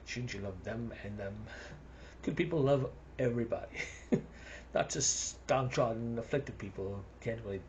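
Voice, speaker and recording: A man's voice: mid-range voice, normal speed, a little mellow, a little hiss or hum, poor audio. A man with a South African accent rambling through a casual monologue, chuckling between his thoughts.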